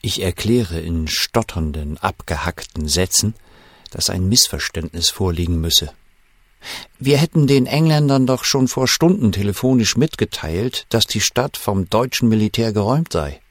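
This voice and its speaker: An actor playing a shaken German official who recalls a wartime night in measured, suspenseful first-person audio drama narration. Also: male voice, fairly low pitch, animated, dry recording. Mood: urgent, strained.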